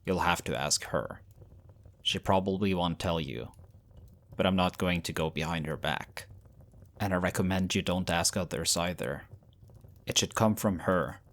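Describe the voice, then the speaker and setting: A man performs a weary young shifter leader in an audio drama. His voice is low, and he speaks with measured, earnest care, like a protective brother reassuring his own. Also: a bit bright.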